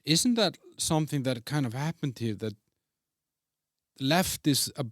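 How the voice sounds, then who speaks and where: A male podcast host from Iceland, talking in a relaxed interview. His speech is fluent and opinionated as he steers the conversation. The voice is mid-pitched and recorded close and dry. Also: a little slow, no echo. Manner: fluent, leading questions, opinionated. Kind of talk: casual interview chat.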